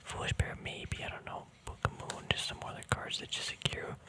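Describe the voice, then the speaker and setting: Man, soft breathy whisper, casual ASMR, relaxed rambling, close mic. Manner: relaxed, unhurried thinking aloud. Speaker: ASMR video creator.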